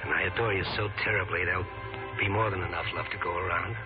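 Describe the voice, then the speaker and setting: An actor playing an older lovesick man in a 1940s radio drama, nervously proposing with a halting, repetitive, pleading delivery. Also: deep voice, fairly even intonation, lightly acted. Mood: tender pleading. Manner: halting, repeating himself, pleading. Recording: muffled 1940s radio broadcast with loud music.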